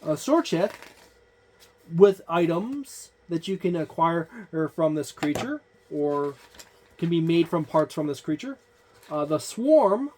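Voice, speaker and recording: Halting, casual book-flipping reviewer. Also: medium pitch, darkish tone. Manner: halting, frequent "uh" fillers and restarts while listing entries; offhand, informal wording. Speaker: tabletop game book reviewer. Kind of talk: casual page-by-page book overview.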